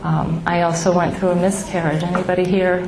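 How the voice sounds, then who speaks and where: A middle-aged woman with a small, soft voice sharing her own story of grief with an audience, halting and heartfelt with many pauses. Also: fairly low pitch, little pitch movement. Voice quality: soft, small voice she calls baby-like. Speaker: speaker at a grief support gathering. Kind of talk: personal testimony to an audience. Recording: echoey room with background noise.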